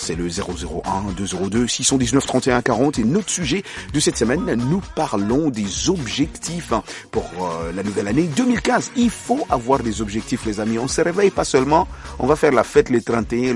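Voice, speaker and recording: A man hosting a radio show in a studio, chatting playfully and laughing with his guest. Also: mid-range voice. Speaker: radio host. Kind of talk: casual radio chat.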